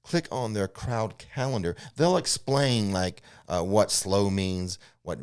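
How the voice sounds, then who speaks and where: A man's voice: on the low side, slow, clean tone. A man co-hosting a casual, unscripted talk, explaining things informally and matter-of-factly with some hedging, as he answers viewer questions. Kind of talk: casual unscripted theme park news chat. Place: studio.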